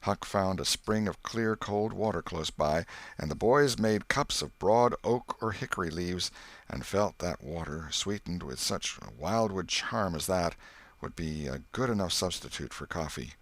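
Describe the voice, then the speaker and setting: Low-pitched man narrating a bedtime story. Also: expressive, no room sound. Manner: measured literary storytelling. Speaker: audiobook narrator.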